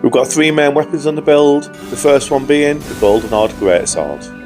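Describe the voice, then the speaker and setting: Hoarse man commenting on gameplay. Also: a little high, normal speed, over music. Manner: upbeat, chatty, says "guys" often. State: hoarse from a cold. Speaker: gaming YouTuber.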